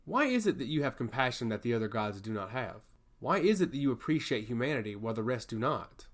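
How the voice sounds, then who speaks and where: A composed and curious woman from a fantasy storybook, read by a male narrator. She sounds reasonable and questioning, with animated rises and falls in pitch. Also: fast. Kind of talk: fantasy storybook character dialogue.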